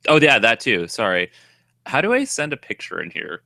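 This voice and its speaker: A man's voice: mid-range voice, huge pitch swings, moderate pace, vocal fry, sharp tone, no echo, clean studio sound. A man with a slight Boston accent co-hosting a casual chat show, rambling and laughing as he reads listener mail. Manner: rambling, laughing, trailing off mid-sentence. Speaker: podcast co-host.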